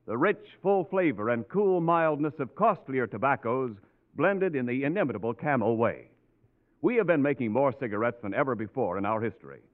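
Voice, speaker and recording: An American man announcing in an emphatic, polished, declamatory 1940s radio commercial style. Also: mid-range voice. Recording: tinny old radio recording with music.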